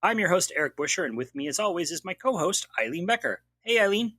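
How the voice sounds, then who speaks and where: A man's voice: high-pitched, very animated, fast, bright, forceful tone, no room sound, clean studio sound. A man hosting a podcast, giving a wry, jokey recap of a TV mystery episode. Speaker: podcast host. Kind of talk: scripted recap narration.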